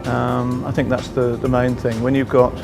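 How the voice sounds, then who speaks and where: A man giving a thoughtful, informal interview, pausing and repeating phrases as he goes. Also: medium pitch, completely flat delivery, vocal fry. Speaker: event participant giving an interview. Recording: music behind the voice.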